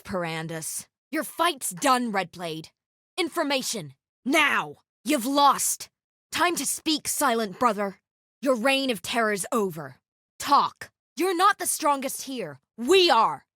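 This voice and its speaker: An actress playing a stern, vengeful interrogator in a video game, clipped, commanding and threatening. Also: female voice, fairly high pitch, a bit bright, no echo, studio quality. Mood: harsh, demanding. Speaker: game character voice.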